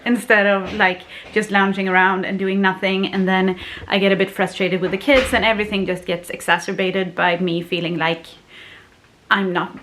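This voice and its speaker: A woman's voice: mid-range voice, level tone, normal speed, a bit bright, a little hiss or hum, poor audio. A woman in her thirties from Sweden, chatting casually to a handheld camera at home and laughing often between her words. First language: Swedish. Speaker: family vlogger. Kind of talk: casual vlog chat. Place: family home, indoors. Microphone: handheld camera at arm's length.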